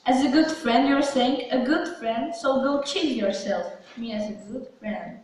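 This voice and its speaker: A woman's voice: high voice, measured pace, darkish tone. A woman playing a proud, scorned, vengeful woman in an amateur puppet show, speaking with indignant emphasis. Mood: hurt, scornful. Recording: very echoey room, far from mic.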